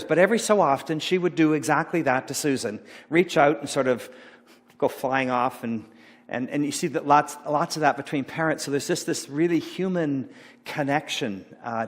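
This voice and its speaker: A middle-aged man giving an informal sermon, rambling and halting with many fillers and restarts. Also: mid-range voice. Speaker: pastor. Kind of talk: informal sermon talk about slides. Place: church sanctuary. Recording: long church hall reverb.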